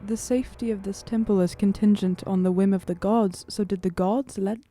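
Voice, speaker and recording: A woman's voice: mid-range voice, steady tone, fairly quick, warm tone, slight background noise. A woman playing a tabletop roleplaying game, talking informally and thinking aloud as she improvises her character's moves and lines.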